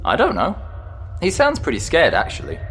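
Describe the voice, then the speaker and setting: A cheeky, chatty ghost from an audio drama, acted by a performer. The delivery is playful and guileless, leaping very widely up and down in pitch, with slight noise in the background. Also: wildly swooping pitch, normal speed, a bit bright.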